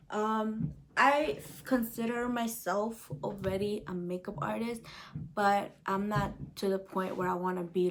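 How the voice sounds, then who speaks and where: A young woman in her twenties from El Salvador talks through a casual question-and-answer vlog, speaking straight to her viewers and leaning on easy fillers. Her voice sits at a middling pitch for a woman. A faint hiss runs under the recording. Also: a bit bright. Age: 20s. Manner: chatty, many fillers, addresses viewers directly. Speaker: vlogger.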